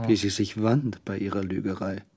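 Crime radio drama narrator, low and husky, measured, wry, quietly scornful. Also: male voice, level tone, no room sound. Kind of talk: literary radio play narration.

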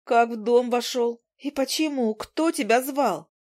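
A woman's voice: fairly high pitch, a little slow, darkish tone, voice pushed up, natural voice. A woman voicing a spirited young woman in an audiobook, weak from illness and sharply scolding. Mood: softening. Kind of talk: audiobook character voice.

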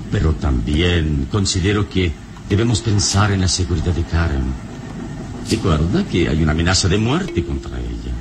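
An actor playing a wise, calm, heroic adventurer in a solemn, measured, theatrical radio-serial style. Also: male voice, low voice. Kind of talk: old radio serial acting.

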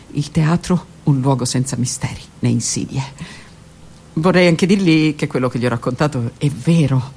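Woman delivering a hushed theatrical monologue. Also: deep voice, slightly rough. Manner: slow, dramatic delivery with pauses and hushed passages. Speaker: actress performing her own monologue. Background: music.

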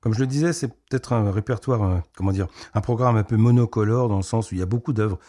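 Man, radio host, husky, low-pitched, conversational with fillers. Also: fast talker, dark tone. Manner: conversational, with frequent fillers and affirming murmurs. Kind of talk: radio interview.

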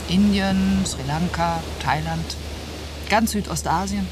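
A woman's voice: fairly low pitch, slow pace. A woman acting an independent, outgoing teacher and traveller in a naturalistic radio drama, chatty and self-assured. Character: independent, outgoing female teacher, seasoned backpacker. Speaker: actor. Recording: hissy old cassette of radio play.

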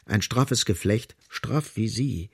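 A man narrating a literary radio play in an observant, expressive manner, with a slightly husky voice. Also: lowish voice, slow pace, exaggerated voice. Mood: observant, measured.

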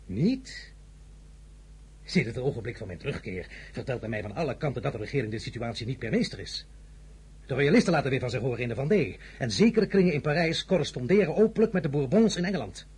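An actor playing an ambitious, imperious young French general in an audio drama, with emphatic, rhetorical phrasing and a husky voice. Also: male voice, mid-range voice, expressive, quick pace, a little mellow. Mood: grave, insistent.

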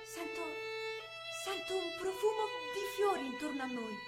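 High voice, young blind woman, dreamy plaintive exile, theatrical, roomy sound, prominent music. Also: lively intonation, moderate pace. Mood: eager, entranced. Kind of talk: theatrical stage-play acting.

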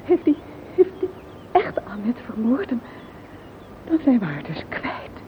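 A woman's voice: fairly high pitch, very animated, measured pace. A woman acting a shocked, panicking and scheming sister in a radio play, breathless, stammering and agitated. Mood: stunned, panicking. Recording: old tinny radio recording with hiss.

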